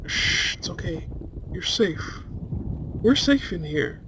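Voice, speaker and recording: Handsome vampire played by a man for roleplay ASMR: slightly high male voice, unhurried, soothing, breathy, seductive and protective. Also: sing-song, heavily acted. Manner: soothing, breathy murmurs, often dropping to whispers. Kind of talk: roleplay ASMR, intimate and soft-spoken. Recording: faint storm noise behind. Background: rain and thunder.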